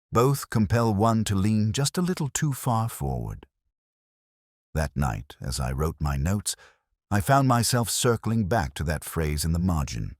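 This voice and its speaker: A middle-aged man reading a scripted first-person detective story in a measured, brooding, literary manner. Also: deep voice, dry recording, studio quality.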